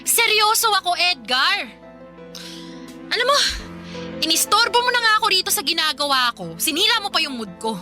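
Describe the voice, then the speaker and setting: A woman's voice: very high voice, sing-song, normal speed, long pauses, music in the background. An actress playing an irritable, work-obsessed young Filipina career woman in a radio drama, snappy, sarcastic and scolding. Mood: irritated, sarcastic.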